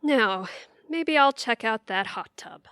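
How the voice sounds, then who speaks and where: A young woman playing a tentative, gently teasing grown daughter in an audio drama. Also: on the high side, lively intonation, slow pace, dry recording, studio quality, full character voice. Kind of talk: audio theatre acting.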